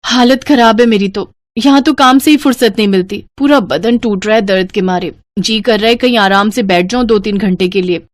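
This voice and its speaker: A woman's voice: mid-range voice, fairly quick, hardly any pauses, dry recording, lightly acted. A woman voicing a put-upon, plaintive young newlywed daughter-in-law in a cartoon story. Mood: fed up.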